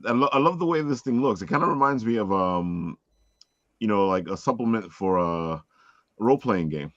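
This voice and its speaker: A man's voice: on the low side, animated, moderate pace, no echo. A man hosting a podcast in casual livestream chat, talking over an online call. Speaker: podcast host. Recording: dull, dry online call audio.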